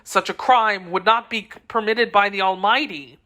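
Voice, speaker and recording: A woman voicing a somber older male swordsman with a low, faltering murmur. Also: steady tone, moderate pace, sharp tone, close to an everyday voice. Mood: grave.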